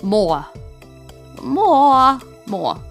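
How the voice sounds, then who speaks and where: A woman voicing a gentle airy creature with few words, speaking haltingly in repeated broken words. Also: sits high, sing-song, vocal fry, faint music behind, voice pushed up, exaggerated voice.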